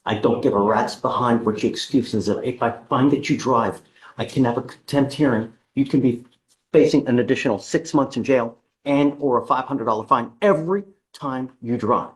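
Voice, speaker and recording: An American man presiding as a judge in court. His voice is mid-pitched and noticeably husky. He lays down orders in a stern, blunt, emphatic way. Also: normal speed, poor audio. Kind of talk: courtroom proceedings from the bench.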